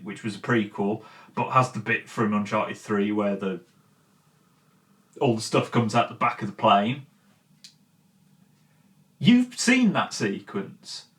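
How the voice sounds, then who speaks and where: Emphatic man giving opinionated video commentary. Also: medium pitch. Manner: opinionated, emphatic, spells out points with repetition. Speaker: video channel host presenting an opinion piece. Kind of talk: conversational video essay commentary to viewers.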